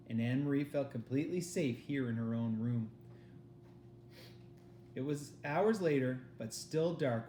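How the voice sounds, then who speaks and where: A man's voice: mid-range voice, warm tone. A man reading a storybook aloud as a teacher does for students, recorded in an echoey room. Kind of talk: storybook read-aloud narration. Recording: echoey room with faint noise.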